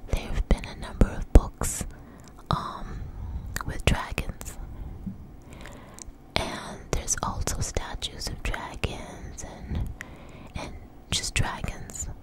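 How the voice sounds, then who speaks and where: A woman telling a story in a breathy, close-up ASMR whisper, halting and unhurried, with frequent pauses. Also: low-quality recording. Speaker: ASMR video creator. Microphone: close microphone.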